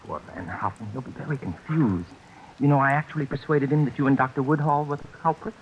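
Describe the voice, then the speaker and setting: Casting: male actor as prim, apologetic, softly menacing gentleman villain; mid-range voice, old radio thriller, dull hissy recording. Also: mid-range voice, fast talker, close to an everyday voice. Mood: politely menacing. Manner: prim, courteous, hesitant. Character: fussy, polite, soft-mannered murderous male schemer. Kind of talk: old-time radio suspense acting.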